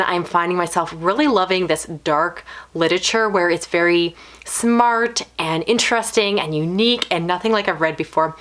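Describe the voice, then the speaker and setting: A woman's voice: medium pitch, fairly bright tone. A woman reviewing books in a casual video style, talking in long, considered explanations. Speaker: book reviewer.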